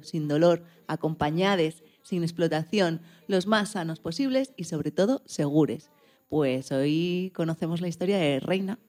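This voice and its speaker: Female radio host, slightly low pitch, scripted segment introductions. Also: dark tone. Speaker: programme host.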